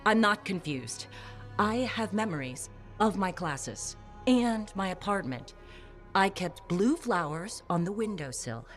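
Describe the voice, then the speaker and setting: A woman's voice: mid-range voice, expressive, measured pace, close to an everyday voice. An actress playing a scholarly middle-aged aunt who insists on her memories. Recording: game audio with music behind.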